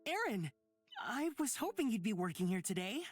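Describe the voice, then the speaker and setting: A woman's voice: medium pitch, sing-song, normal speed, dry recording. A woman voicing a breezy, sardonic rich young man in a visual novel game. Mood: upbeat, casual. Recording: music under the voice. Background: game music.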